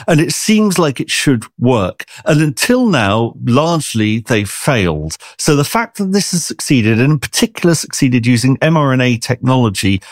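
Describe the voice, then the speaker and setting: A man, a science journalist, explaining medical research in a chatty, lively way during an interview. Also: medium pitch, dry recording, studio quality.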